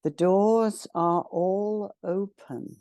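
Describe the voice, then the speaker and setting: A woman leading a spiritual guided meditation in a solemn, ceremonial manner, speaking in short measured phrases with long pauses. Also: medium pitch, warm tone, dry recording. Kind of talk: guided meditation, spiritual invocation and channelled message.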